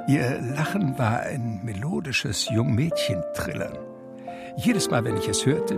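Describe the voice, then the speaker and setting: An actor playing a reflective man who tells his life story in measured literary radio drama narration. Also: mid-range voice, vocal fry. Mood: wistful. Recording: music under the voice. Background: music.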